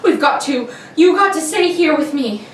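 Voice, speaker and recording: A woman's voice: sits high, animated, normal speed. A woman playing a nervous, frightened wife and mother in a radio drama, anxious and pleading. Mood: panicked. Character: frightened, jumpy wife and mother hiding a secret. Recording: roomy, distant mic with heavy noise.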